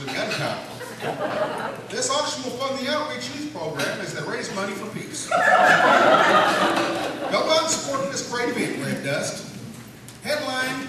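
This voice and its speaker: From a distant mic in a very echoey, noisy room comes a man acting as a folksy small-town radio newsreader. His voice is high for a man. He announces comic news in a cheerful deadpan with homespun asides. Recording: roomy echoing stage, distant mic, noisy.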